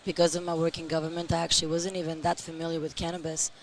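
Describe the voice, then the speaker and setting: Hoarse, slow-talking woman. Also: low voice, little pitch movement. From Europe. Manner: speaks slowly and measured. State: hoarse, tired voice. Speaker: interview guest, company founder. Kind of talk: casual interview.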